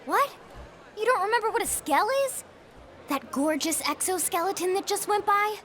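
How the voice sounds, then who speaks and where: Teenage girl for game cutscenes: high, chirpy, eager tech enthusiast, informal, faint ambience behind. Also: lively intonation, moderate pace, a bit bright, a little hiss or hum. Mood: gushing, excited. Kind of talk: video game cutscene acting.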